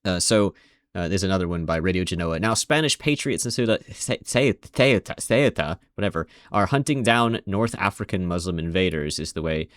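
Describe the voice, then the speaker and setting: A man's voice: deep voice, a little fast, no echo, clean studio sound. A man casually commenting over video clips in a rambling, sarcastic way. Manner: rambling, sarcastic, many restarts.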